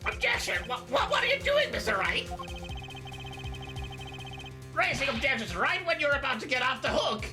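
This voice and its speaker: A man's voice: extremely high pitch, sing-song, moderate pace, gravelly, long pauses, recorded from a distance, music in the background, full character voice. A man voicing a flustered, exasperated courtroom prosecutor in a theatrical video game fandub. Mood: indignant outrage.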